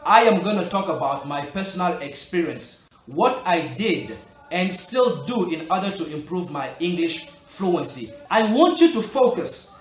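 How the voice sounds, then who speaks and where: High-voiced French-native man coaching learners. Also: big pitch swings, a little slow. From Republic of the Congo. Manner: earnest, coaching, stresses key points. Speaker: language-learning vlogger. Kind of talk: motivational talk to camera. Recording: roomy echo, soft music behind.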